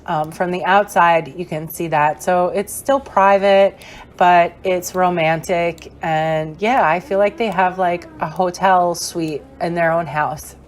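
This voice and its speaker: Young woman, hoarse, fading voice, rambling, chatty, game tour voice-over, low-pitched. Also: steady tone, takes it slowly, a little hiss or hum. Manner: chatty and rambling, with many fillers. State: hoarse, losing her voice. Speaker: gaming creator. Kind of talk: casual gameplay voice-over tour.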